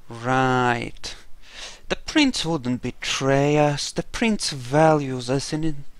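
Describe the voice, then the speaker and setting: A man's voice: mid-range voice, extremely slow, no room sound. A man giving casual gameplay commentary, wry and musing to himself as he plays. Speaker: gamer doing a playthrough.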